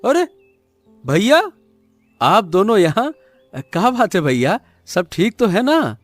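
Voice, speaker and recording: High-pitched, animated village farmer played by a man. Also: slow pace, no room sound, soft background music, exaggerated voice. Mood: reassuring. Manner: animated, colloquial remonstrating. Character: trusting, stubborn poor village farmer, loyal to family.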